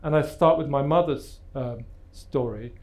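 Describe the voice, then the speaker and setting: A man's voice: medium pitch, a little slow, noisy background. A man from Luton in England, the author and host of a live book talk, asking his guests questions and introducing the segments in a thoughtful way that laughs easily.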